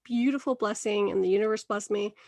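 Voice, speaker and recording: A woman's voice: mid-range voice, fairly quick, vocal fry, a little mellow, no echo, studio quality. A woman in her thirties from Venezuela, hosting a podcast interview in a bubbly, chatty way and laughing often between phrases. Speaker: podcast host. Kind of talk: casual interview chat.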